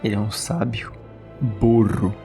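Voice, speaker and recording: A man's voice: lowish voice, unhurried, vocal fry, warm tone. A Brazilian man taking part in a tabletop role-playing game as a player, with casual banter. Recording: background music under the voice.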